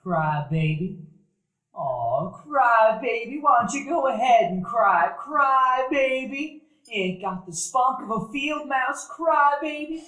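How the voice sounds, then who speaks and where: A woman acts a bullying older boy who taunts with a sneering drawl. The voice sits slightly high and echoes in a live theater hall. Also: expressive, takes it slowly, a bit bright, full character voice. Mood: taunting. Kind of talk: live radio play acting.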